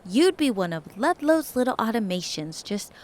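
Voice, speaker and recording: An actress playing a lively, teasing woman in her thirties, in a naturalistic audio drama. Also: fairly high pitch, moderate pace. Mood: playful. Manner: playful, teasing, animated. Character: lively, teasing woman housemate, a live wire.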